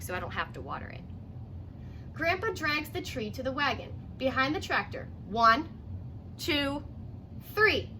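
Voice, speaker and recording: Animated young American woman reading aloud. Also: on the high side, slight background noise, low-quality recording. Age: young adult. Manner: animated, addresses young listeners with questions. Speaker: teacher reading a picture book aloud. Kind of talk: children's read-aloud with short asides. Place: living room at home, indoors.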